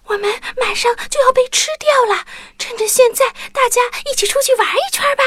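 Small child, storybook audio play. Extremely high, bright, excitable, wide-eyed. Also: female voice, brisk, faint music behind. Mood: eager, playful. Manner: exclaiming, wide-eyed, playful.

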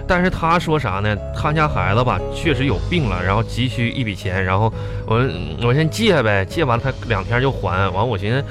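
A man's voice: mid-range voice, animated, fairly quick, few pauses, over music, natural voice. A man acting a sheepish, pleading husband caught fibbing in a live comedy radio drama, stammering and repeating himself with a Northeastern Chinese accent. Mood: panicked, pleading. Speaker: radio show host and performer.

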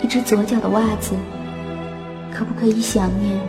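A woman playing a wistful, lovesick young sock with a soft, dreamy, tender manner in a Chinese radio drama. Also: medium pitch, steady tone, normal speed, reverberant, over music, lightly acted. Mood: melancholy pondering. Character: wistful young female left-foot sock, lovesick and innocent. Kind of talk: Chinese radio drama acting with inner monologue.

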